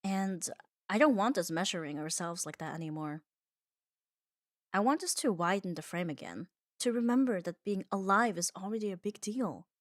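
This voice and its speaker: A woman giving a calm, reassuring ASMR comfort monologue in an unhurried, soothing way. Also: mid-range voice, no echo, clean studio sound. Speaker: ASMR audio creator.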